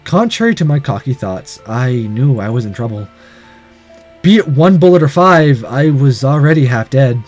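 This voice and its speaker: A man's voice: medium pitch, sing-song, normal speed, a little mellow, faint music behind. A man reading a visual novel aloud, mixing dramatic narration with casual asides.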